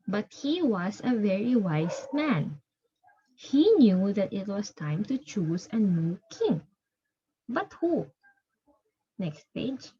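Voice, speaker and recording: A woman teacher giving a reading lesson, explaining step by step in a halting, repetitive way and checking understanding. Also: a little high, a little mellow, breathy edge, highs cut off.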